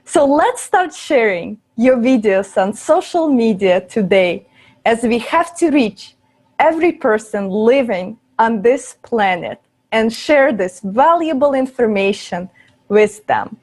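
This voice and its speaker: Woman, moderator, slow delivery, somewhat high voice, polite scripted announcements. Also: animated, a little mellow. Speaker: conference moderator.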